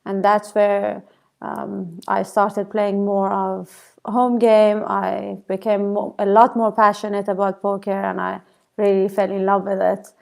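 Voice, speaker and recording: A young Iranian woman speaks English with a clear Iranian accent, her voice carrying a gravelly, fry-tinged edge. She talks in a measured way, often pausing on soft ums as she answers a podcast host over a remote call. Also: medium pitch, level tone. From Iran. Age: young adult. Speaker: podcast guest. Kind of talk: casual interview answers. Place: home in South Africa, remote call.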